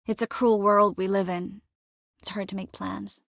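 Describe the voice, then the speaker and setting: Young game sorceress, clever and warm, bit wry, mid pitch, super dry recording. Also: female voice, flat delivery, moderate pace, creaky, no echo. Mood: measured. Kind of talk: video game character dialogue.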